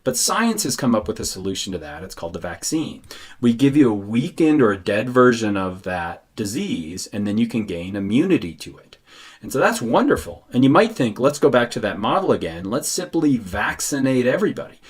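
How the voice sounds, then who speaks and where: A man's voice: medium pitch, very animated, a little fast, husky. A man teaching in a didactic explainer style, posing questions and answering them himself. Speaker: science educator. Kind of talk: explainer lesson narration.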